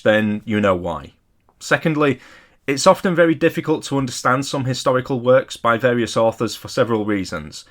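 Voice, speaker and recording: A man narrating a scripted lecture as an amateur hobbyist video host, in a measured, careful and modest explanatory manner. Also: medium pitch. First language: not Polish; English speaker.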